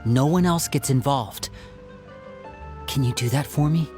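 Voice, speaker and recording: A man's voice: mid-range voice, measured pace, warm tone, soft background music, lightly acted. A man playing an earnest, protective young older brother in a dramatic game scene, articulate and courteous. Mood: businesslike, earnest.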